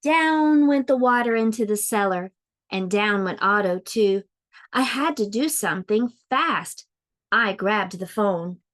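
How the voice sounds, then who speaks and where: A woman reading a children's storybook aloud like a preschool teacher, expressively, with deliberate, drawn-out dramatic emphasis. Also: medium pitch, expressive, a bit bright.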